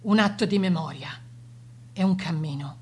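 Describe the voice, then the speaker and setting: An actress playing a grieving, defiant woman of a Sicilian victim's family, in solemn, measured, rhetorical radio drama monologue. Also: fairly low pitch, slight background noise, natural voice. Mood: grave, mournful.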